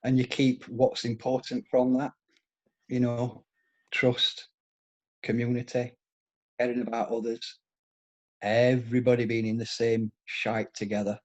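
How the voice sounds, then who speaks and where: A man in his fifties with a Yorkshire accent softened by years in Scotland, rambling through anecdotes in a casual conversation with frequent restarts. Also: mid-range voice, completely flat delivery, unhurried, long pauses, highs cut off. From England, Yorkshire.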